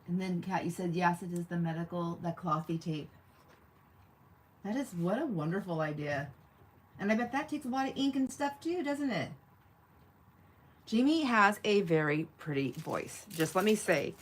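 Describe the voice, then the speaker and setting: A woman's voice: fairly low pitch, animated, normal speed, a little hiss or hum. A woman hosting a casual craft livestream, chatting and thinking aloud in a rambling way, with a slightly nasal voice. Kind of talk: casual live chat while crafting.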